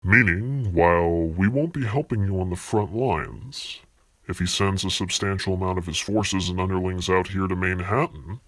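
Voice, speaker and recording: A man voicing a gruff, blunt, sardonic card duelist with a menacing edge for an amateur anime-style series. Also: low voice, normal speed, fairly bright tone, dry recording, clean studio sound. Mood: serious, grim.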